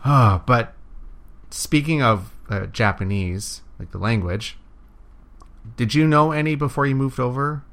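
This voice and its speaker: A man from Canada telling stories in a relaxed, casual chat, laughing now and then. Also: mid-range voice, a little slow.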